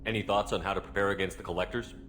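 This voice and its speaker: An actor playing a composed starship commander in a video game, direct and controlled. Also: male voice, low voice, fairly even intonation, very fast, a bit bright, a little hiss or hum. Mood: composed, inquiring. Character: composed male starship commander questioning his crew. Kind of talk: video game character acting.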